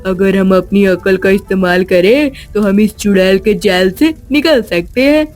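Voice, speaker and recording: A performer voicing a concerned, earnest character in an animated children's story. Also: medium pitch, measured pace, faint music behind, lightly acted. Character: worried grandfather, and a captive little genie.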